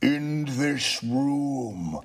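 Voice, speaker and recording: A man voicing a gloomy, pompous old-world ghost in a storybook, declaiming in a slow, solemn, drawn-out way. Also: medium pitch, animated, gravelly, pitched above the performer's own voice, heavily acted. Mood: mournful, grave. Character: gloomy, long-suffering male ghost, pompous yet easily persuaded. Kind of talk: theatrical storybook character reading.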